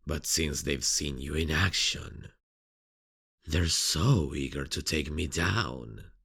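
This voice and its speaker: Slow, gravelly, taunting killer. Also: male voice, extremely low pitch, bright, forceful tone, heavily acted. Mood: mocking amusement. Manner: mocking, teasing, drawn-out delivery with sinister chuckles. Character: sadistic, playful serial killer taunting a detective. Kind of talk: ASMR roleplay acting.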